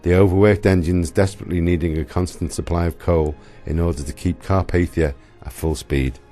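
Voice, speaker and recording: A man's voice: deep voice, steady tone, a little fast, mellow, faint music behind. A man giving dramatic scripted narration for a historical radio play.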